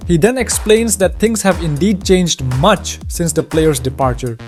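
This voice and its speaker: A man's voice: high-pitched, animated, measured pace. A man reading scripted storyline narration as the narrator. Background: faint music.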